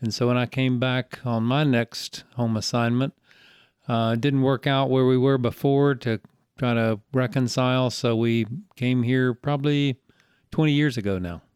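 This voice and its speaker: A man's voice: mid-range voice, fairly even intonation, normal speed, a little mellow, clear, resonant tone, no echo, clean studio sound. A man in his sixties with a Southern US accent, a church elder talking in an easygoing, folksy, rambling way as an interview guest. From Greenville, South Carolina. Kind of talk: casual interview conversation.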